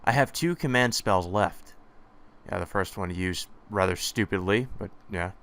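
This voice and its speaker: A man's voice: low-pitched, unhurried, gravelly, fairly bright tone. Man reading a visual novel aloud. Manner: plain first-person reading, sarcastic asides. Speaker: let's play narrator.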